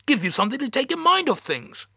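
Audio story mission chief, done by a male reader: husky, lively pitch, brisk, evasive, hesitant and condescending. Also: no room sound, studio quality, full character voice. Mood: wheedling. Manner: hesitant, wheedling, patronising. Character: evasive, brisk space mission boss, falsely cheery.

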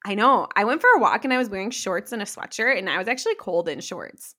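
A slightly hoarse woman co-hosting a casual podcast, rambling along in conversational chat. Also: medium pitch, animated, fast talker, pushed and bright. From Pennsylvania. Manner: rambling, many "like" fillers. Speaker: podcast co-host.

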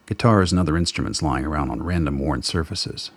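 A man narrates a radio play in an extremely deep voice. It is full of gravel and husk. The delivery is scripted, dramatic storytelling. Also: a little fast, no echo.

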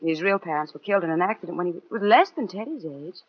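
Young widow, hesitant, expressive pitch, 1940s radio drama, muffled recording. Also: female voice, quick pace, husky. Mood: wistful. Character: gentle young widow recalling her late husband.

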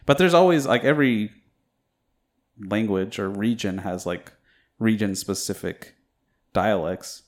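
A man's voice: fairly low pitch, a little slow, pauses often. A young man chatting casually with friends, hesitant and rambling, with lots of fillers. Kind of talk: casual chat among friends.

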